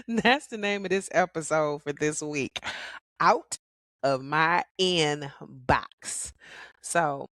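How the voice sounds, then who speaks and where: A middle-aged woman hosts her podcast alone in casual, sassy talk. Her voice is hoarse from a cold, and she laughs often. The recording is dry and close, with no room sound. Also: sits low, slow pace, a bit bright, no echo. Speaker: podcast host.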